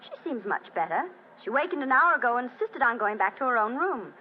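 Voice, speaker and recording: High, animated society wife. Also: female voice, fast. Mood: light, sociable. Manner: light, sociable, expressive. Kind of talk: old radio drama acting. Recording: lo-fi old radio recording, faint noise.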